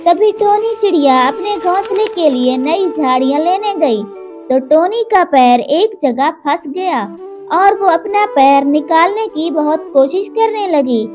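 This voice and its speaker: A woman narrating a children's moral story in a slow storytelling manner, with music behind. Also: extremely high pitch, lively intonation. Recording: narration over cartoon music.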